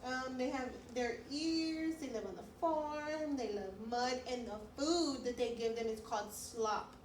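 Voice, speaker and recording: Woman, preschool teacher, high voice, children's story-time delivery, distant mic with faint noise. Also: a little slow, reverberant. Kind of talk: children's read-aloud and lesson chat.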